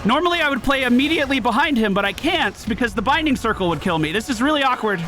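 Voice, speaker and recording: A man doing live gaming commentary, excitable and exclamatory, swearing and rapidly repeating words. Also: very high voice, faint music behind. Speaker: video game streamer.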